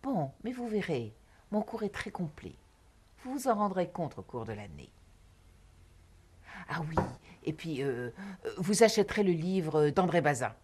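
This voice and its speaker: An actress playing a haughty, self-important private film teacher who talks down to her students in a husky voice. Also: on the low side, big pitch swings, unhurried, long pauses, darkish tone, a little hiss or hum. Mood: lofty. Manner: condescending, lofty, dismissive. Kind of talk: film drama acting.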